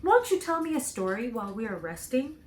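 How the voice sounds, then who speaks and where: A woman reads as a homesick little storybook farm girl. She is plainspoken, firm and sincere, with a fairly high voice that moves freely up and down. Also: very animated, a little fast, a little hiss or hum. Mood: curious.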